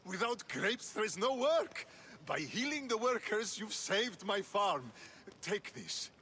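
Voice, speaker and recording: A man's voice: sits high, sing-song, slow, sharp tone, slight background noise, full character voice. A man playing a fretful, self-interested grape farmer in a video game, anxious and flustered. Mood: relieved. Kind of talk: video game cutscene acting.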